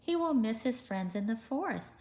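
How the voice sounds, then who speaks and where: A woman's voice: a little high, animated, normal speed, darkish tone, slight background noise, pitched above the performer's own voice. A woman voicing a practical mother who questions her young son in a storybook reading. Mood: questioning, concerned. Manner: questioning, pointed.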